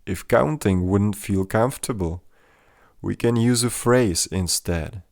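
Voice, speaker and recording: Slow, low-voiced man guiding meditation. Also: vocal fry, dry recording, studio quality. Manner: gentle, measured, instructional. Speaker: meditation guide. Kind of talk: guided mindfulness meditation.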